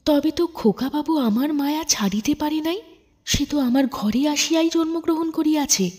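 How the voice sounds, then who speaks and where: A woman's voice: high-pitched, normal speed, a little mellow, voice pushed up, close to an everyday voice. A woman voicing a grief-stricken, devoted male servant in a storybook, pleading and anguished. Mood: awed.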